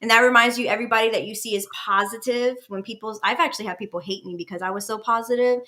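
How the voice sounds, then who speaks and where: A woman's voice: fairly high pitch, fairly even intonation, fast talker, pushed and bright. A woman in her late twenties hosting a casual podcast chat in a candid, personal way. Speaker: podcast host.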